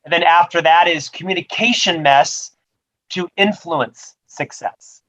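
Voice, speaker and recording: A man, a book author, answering rapid-fire interview questions in an upbeat, promotional way over a video call. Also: on the high side, pushed and bright, low-quality recording. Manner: upbeat, promotional, says he stutters but talks fluently. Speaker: author and guest.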